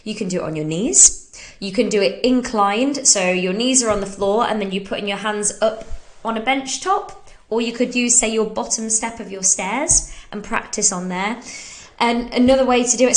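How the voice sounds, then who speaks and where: A woman's voice: a little high, normal speed. An English woman hosting a fitness Q&A, chatty and upbeat, who laughs often, sounding a bit bunged up from hay fever while she talks through exercise technique. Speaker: fitness coach and podcast host. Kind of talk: casual live Q&A, talking through exercise technique.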